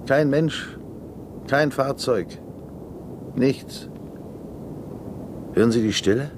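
An actor playing a wistful older deputy in a 1970s German science-fiction radio play, musing hesitantly with a touch of irony. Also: male voice, slow pace, natural voice. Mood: wistful wonder. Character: wistful, wondering older male deputy with stalled career. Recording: noisy 1970s radio drama recording, rumble.

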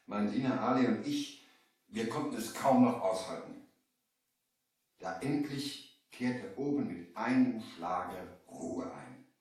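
A man's voice: fairly low pitch, slow pace, darkish tone, recorded from a distance. An actor playing an earnest merchant from Baghdad who narrates his adventure in a dramatic vintage audio play. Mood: grave narration. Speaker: narrator and lead character. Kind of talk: scripted storytelling, 1970 audio drama. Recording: echoey vintage LP, off the mic.

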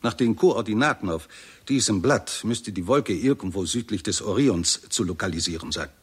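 A husky-voiced, low-pitched astronomy professor with lively swings of intonation. He is confident, insistent and impatient, arguing precisely in the manner of a sixties radio play. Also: normal speed. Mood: doubtful, probing. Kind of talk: 1960s German radio drama acting.

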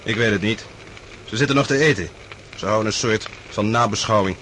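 A matter-of-fact army messenger played by a man with a low voice. He speaks plainly in a radio play, over faint noise. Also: a little fast, fairly bright tone, lightly acted, poor audio. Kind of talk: radio drama acting.

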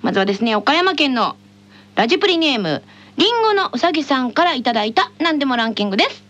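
A woman's voice: sits high, sing-song, fast talker, background noise. A woman co-hosting a radio show, chatting casually and playfully teasing as she reads listener mail. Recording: music bed behind the voice.

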